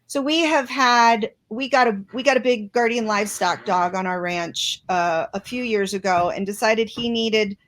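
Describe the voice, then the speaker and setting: A woman's voice: on the high side, normal speed, hardly any pauses, fairly bright tone, slight background noise. A woman guest in an interview, speaking earnestly and reflectively with many restarts. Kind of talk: interview conversation.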